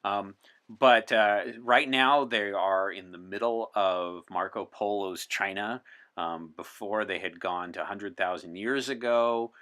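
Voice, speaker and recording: A man's voice: lowish voice, a little slow, a bit bright. An American man explaining things conversationally as a podcast guest, earnest and enthusiastic. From United States. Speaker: podcast guest, presented as a time traveler from 1964.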